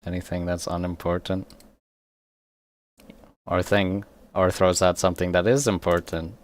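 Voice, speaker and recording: A man streaming a game with casual commentary, halting and searching for words in a self-mocking way. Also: sits low, flat delivery. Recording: clearly noisy, game sound behind.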